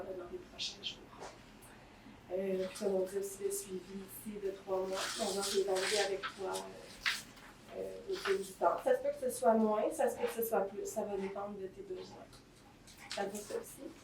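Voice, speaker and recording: A woman with a Québécois accent playing a shelter intake worker in a role-play, talking gently and reassuringly with many fillers. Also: mid-range voice, lightly acted. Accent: Québécois French. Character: patient female shelter counselor, kind and reassuring. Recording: roomy, distant mic, noisy.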